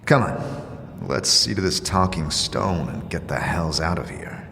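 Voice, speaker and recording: Guy doing tired fantasy adventurer, slow n terse, blunt, bit of background noise. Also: creaky, fairly bright tone, in a large hall, exaggerated voice. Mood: weary. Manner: low-key, terse. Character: weary, blunt adventuring wanderer, a deathless man. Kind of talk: fantasy audio drama.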